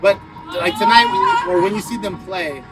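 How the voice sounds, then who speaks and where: A man's voice: high-pitched, wildly swooping pitch, moderate pace, over a noisy crowd, poor audio. A man in his fifties hosting an interview, talking with rambling enthusiasm on the outdoor steps of a party. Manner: enthusiastic, rambling, music-nerd talk. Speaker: podcast host. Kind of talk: casual interview. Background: loud party music.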